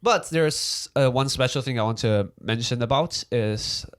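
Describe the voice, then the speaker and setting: A young man from Taiwan with a Taiwanese accent, Mandarin his first language, co-hosting a casual podcast and talking in halting, restarting sentences. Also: medium pitch. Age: young adult. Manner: halting, many restarts and fillers. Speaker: podcast co-host. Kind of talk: casual chat.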